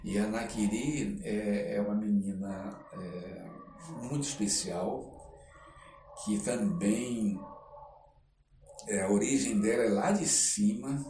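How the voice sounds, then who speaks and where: An older man with a Brazilian accent, telling anecdotes as an interview guest in a halting, reflective, casual way. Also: fairly low pitch, warm tone, slight background noise. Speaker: interview guest, actor and screenwriter. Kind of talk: casual podcast storytelling. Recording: roomy echo, distant microphone.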